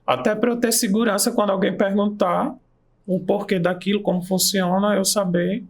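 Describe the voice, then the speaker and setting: A man's voice: high voice, fairly even intonation, normal speed. A man, an aromatherapy practitioner, telling his story with enthusiasm in a casual interview. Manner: enthusiastic storytelling, pace shifts. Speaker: aromatherapist and nurse, guest.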